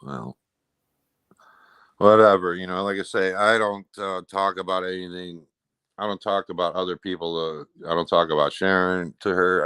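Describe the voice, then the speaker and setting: Low-voiced man, rambling and defensive. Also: pushed and bright, smooth, no room sound. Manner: rambling, peppered with "you know". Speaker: interview guest. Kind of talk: casual, defensive explanation.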